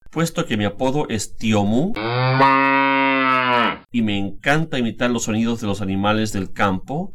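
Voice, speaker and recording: Man, Chilean Spanish accent, middle-aged, slightly low voice, measured lesson narration. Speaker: language teacher, guest speaker. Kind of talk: scripted instructional narration.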